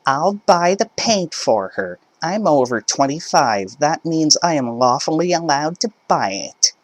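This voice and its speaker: A loud, high-pitched entitled mother who scolds and demands without letting up, as a male storyteller puts her on. Her voice is pushed up well above his own and sounds strained and pinched, as if put on. The recording is dry and close. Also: very animated, measured pace, dry recording. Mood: indignant. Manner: indignant, demanding, shouting. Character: shrill entitled middle-aged mother shopper.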